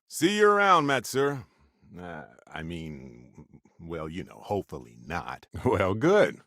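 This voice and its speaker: Low-voiced, wry space explorer companion. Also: male voice, fairly bright tone, no echo, studio quality, heavily acted. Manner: wry, affable, theatrical, given to teasing. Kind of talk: video game companion voice acting.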